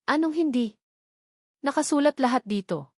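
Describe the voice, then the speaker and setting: A woman's voice: high voice, animated, normal speed, a little mellow, no room sound, clean studio sound, pitched above the performer's own voice. A woman voicing an angry middle-aged mother in an audio drama, scolding her daughter in cutting, emphatic bursts. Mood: furious accusing.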